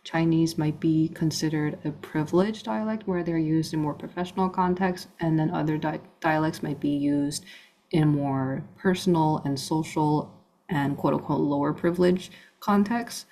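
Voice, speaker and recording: Mellow young woman interviewer. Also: low-pitched, normal speed. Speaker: student interviewer. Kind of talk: prepared interview questions.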